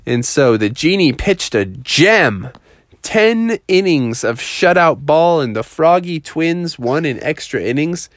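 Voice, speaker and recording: A man's voice: fairly high pitch, animated, slow, no echo, clean studio sound. A man telling an improvised children's story in a casual, colloquial way.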